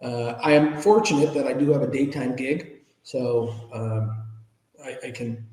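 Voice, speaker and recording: Middle-aged male ethics speaker as podcast guest, reverberant room, plain-spoken, mid-pitched voice. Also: measured pace, a little mellow. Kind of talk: conversational interview answers. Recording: echoey room with long reverb.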